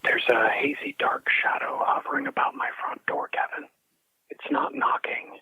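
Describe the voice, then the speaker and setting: An actor playing an anxious, apologetic researcher leaving an urgent voicemail in an audio drama, heard through a phone filter. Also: male voice, moderate pace, pushed and bright, dry recording, whispered. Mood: hushed, frightened. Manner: apologetic, hesitant, earnest. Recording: dull, dry telephone message sound.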